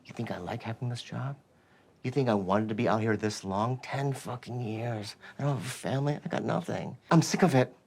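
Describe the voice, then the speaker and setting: A man's voice: medium pitch, brisk, vocal fry, darkish tone, husky. An actor playing a worker from San Diego who is sick of his job, ranting bitterly. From San Diego, USA. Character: fed-up worker far from home.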